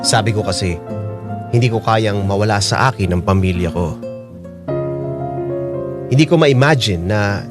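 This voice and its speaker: A man's voice: on the low side, a little slow, pauses often, close to an everyday voice. A man playing a remorseful, defensive unfaithful husband and young father in a Filipino radio drama, speaking with a Filipino accent. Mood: regretful. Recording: music bed behind the voice.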